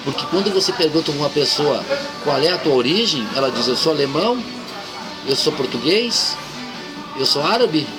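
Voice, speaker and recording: Man over very loud music. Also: on the high side, expressive, normal speed, poor audio. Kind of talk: casual political discussion. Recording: very loud music and vehicle noise.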